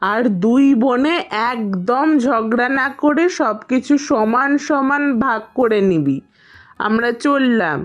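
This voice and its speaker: A woman's voice: on the high side, lively intonation, takes it slowly, highs cut off. A woman voicing a caring village mother in a cartoon, fussing and admonishing in a motherly way. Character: fussy, caring village mother instructing her daughters. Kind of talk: cartoon voice acting.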